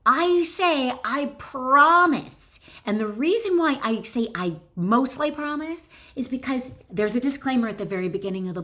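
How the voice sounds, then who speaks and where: A woman's voice: mid-range voice, fairly bright tone. Woman author teaching and reading, emphatic. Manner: emphatic, deliberate phrasing with short pauses; direct address to the listener with check-in questions. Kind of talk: instructional talk mixed with expressive reading aloud from own book.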